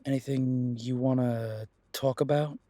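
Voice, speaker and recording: A protective werewolf detective played by a man talks gently to his partner in an intimate audio roleplay. His mid-range voice is worried yet reassuring, with hesitant pauses. The sound is dry and close, free of room echo. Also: mid-range voice, steady tone, lightly acted. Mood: concerned.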